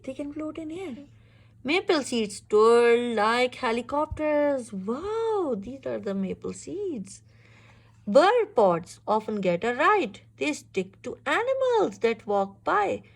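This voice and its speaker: A woman reads a children's picture book aloud in an expressive, instructive way, pausing to ask questions. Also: a little high. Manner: expressive, instructive, exclaims and checks understanding. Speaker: reader teaching a young child.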